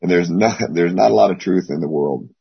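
A hoarse man losing his voice, teaching a Bible class in a rambling way with many pauses. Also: low voice. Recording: dull lo-fi audio.

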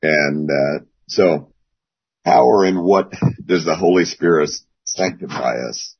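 Hoarse man, Bible class teacher, very low husky voice, rambling delivery, dull lo-fi call audio. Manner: rambling, with many pauses. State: hoarse, losing his voice.

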